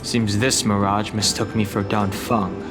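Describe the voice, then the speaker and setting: An actor playing a calm, reserved young male warrior in a video game, speaking in a measured, serious way. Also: on the low side, over music, close to an everyday voice. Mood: composed. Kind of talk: video game character dialogue.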